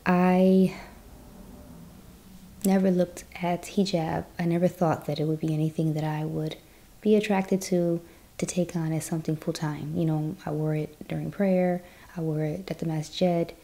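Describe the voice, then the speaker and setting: A woman's voice: lowish voice, fairly even intonation, a little slow, creaky, warm tone. A woman from the US Midwest telling her personal story in a chatty vlog, with lots of "you know". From Chicago, US Midwest. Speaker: vlogger.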